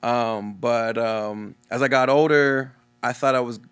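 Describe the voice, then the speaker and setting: A man's voice: medium pitch, fairly even intonation, a little slow, a bit bright, smooth. A young man from Texas with a Texan accent who stutters openly, talking casually and earnestly as a guest DJ in an interview. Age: young adult.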